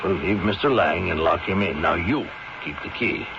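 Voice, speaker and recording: An actor playing a resolute family doctor with a measured, authoritative manner and an American accent in a 1970s radio drama. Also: male voice, deep voice, gravelly. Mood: grave, resolute. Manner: measured, authoritative, repeats himself. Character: resolute male family doctor calmly exposing a crime. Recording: muffled, noisy 1970s radio recording.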